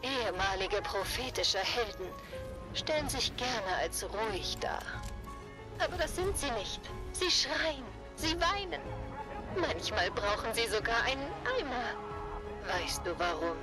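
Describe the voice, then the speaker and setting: High-voiced wise oracle mentor. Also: female voice, expressive. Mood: encouraging, solemn. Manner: measured, emphatic, encouraging. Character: wise female oracle, steadfast encouraging mentor. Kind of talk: video game voice acting, German dub. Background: game music.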